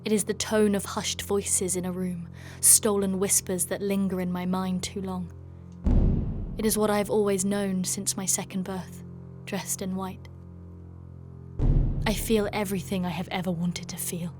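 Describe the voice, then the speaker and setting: An actress playing a proud, tormented warrior in a dark fantasy audio drama, speaking with solemn intensity and formal, poetic diction. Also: female voice, mid-range voice, level tone, a little slow, close to an everyday voice. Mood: pained, brooding. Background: music.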